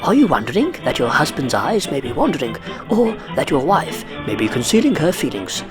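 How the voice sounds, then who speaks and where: A man's voice: fairly high pitch, big pitch swings, fairly quick. A man playing a slick announcer who reads a rhyming spoof radio advert in a declamatory sales style. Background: music.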